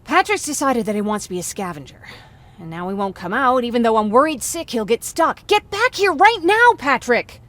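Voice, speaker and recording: A woman's voice: fairly high pitch, very animated, fairly quick, a bit bright. A woman playing a fiery, defiant civilian scavenger in a video game, blunt and emotional. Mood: worried, scolding. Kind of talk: video game dramatic acting.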